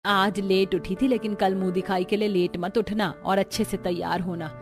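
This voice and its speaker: A woman's voice: mid-range voice, level tone, normal speed, soft background music, close to an everyday voice. A woman playing a patient, middle-aged village mother-in-law in a cartoon, coaxing and instructing.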